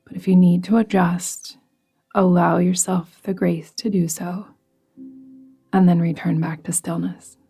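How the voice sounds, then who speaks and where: A woman guides a meditation very slowly, in an even, barely varying tone. Her voice is soft and breathy, with a creaky edge as phrases settle. She instructs gently and soothingly. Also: on the low side. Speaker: yoga nidra guide. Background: music.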